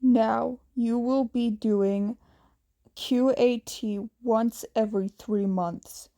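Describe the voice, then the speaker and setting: A woman voicing a tired, gruff male teacher who speaks curtly in a fan audiobook reading. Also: steady tone, slow, dark tone. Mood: stern. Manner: curt, low-key.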